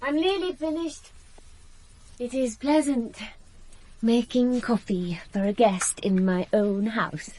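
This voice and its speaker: An actress in a radio drama playing a cool, frank, worldly young woman with a husky voice. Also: on the high side, slow, dark tone, low-quality recording. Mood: composed, hospitable.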